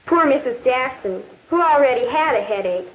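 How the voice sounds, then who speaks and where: High-voiced earnest schoolgirl, old film. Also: animated, normal speed. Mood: earnest, confiding. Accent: American. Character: earnest high school girl student narrating school troubles. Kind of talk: 1950s educational film narration. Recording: muffled, noisy 1950s film soundtrack.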